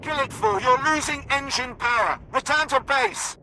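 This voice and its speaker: A man's voice: very high voice, animated, normal speed, bright, forceful tone, exaggerated voice. An actor playing an urgent, embattled male fighter pilot over the radio in a video game. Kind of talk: video game combat acting. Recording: faintly noisy cockpit radio.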